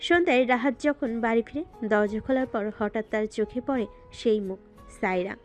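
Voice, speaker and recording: A woman's voice: a little high, brisk, a little mellow, faint music behind. A woman narrating a scripted romantic story in a dramatic style.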